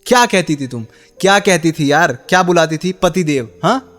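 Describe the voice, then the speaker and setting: A man's voice: on the high side, lively intonation, normal speed, a bit bright, faint music behind. An actor playing a bitter, heartbroken young man in a radio drama, sarcastic and accusing as he confronts the woman he loved. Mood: bitter, wounded. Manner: sarcastic, accusing, with pointed rhetorical questions. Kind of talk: Hindi radio play acting.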